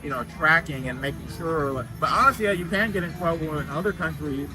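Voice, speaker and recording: A man's voice: fairly high pitch, fast, over music. A man from the United States, a guest and video creator, talking in a casual interview and addressing viewers directly. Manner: assertive, lectures the audience directly.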